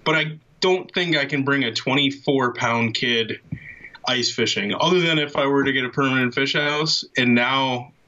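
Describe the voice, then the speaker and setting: A man's voice: medium pitch, moderate pace, sharp tone. A young man chatting casually as a podcast guest, enthusiastic and slangy, over a video call. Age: young adult. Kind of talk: casual chat. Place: small room at home. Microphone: video call, webcam at arm's length. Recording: dull lo-fi video call audio.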